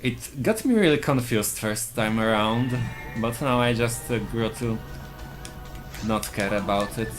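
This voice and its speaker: A man's voice: mid-range voice, a little slow. A man with a Polish accent, a speedrunner explaining his tactics plainly while he plays live. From Poland. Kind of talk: live gameplay commentary. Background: game music.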